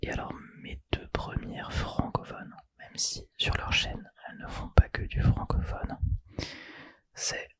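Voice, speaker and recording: A man's voice: measured pace, dry recording. A young man whispering a casual, slangy chat for an ASMR channel, close to the mic. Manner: casual and slangy, self-deprecating. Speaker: ASMR YouTuber.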